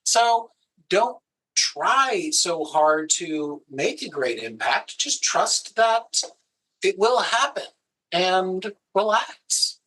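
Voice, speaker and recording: A man with a high, bright voice chats casually about working on camera, handing out practical tips at an easygoing pace. He sounds like a video producer at ease with his guest. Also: very animated, extremely slow, husky, rough recording. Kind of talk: casual advice conversation.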